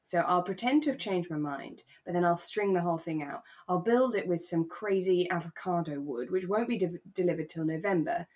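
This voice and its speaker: A woman co-hosting a relaxed podcast chat about a radio drama, telling things in an animated, dramatic way. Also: lowish voice, fairly quick, hardly any pauses. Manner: animated, dramatic storytelling with acted-out asides. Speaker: podcast co-host; actor.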